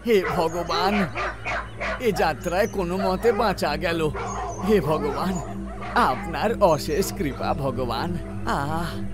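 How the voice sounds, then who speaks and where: A crafty jackal from a children's cartoon, voiced by a man, speaks in a high, dramatic voice. He is cowardly and self-important by turns. Loud music plays underneath. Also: slow pace, pauses often. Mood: anxious, relieved. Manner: dramatic, wailing when scared, pompous when scheming. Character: cunning, cowardly male jackal posing as God's envoy. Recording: music behind the voice.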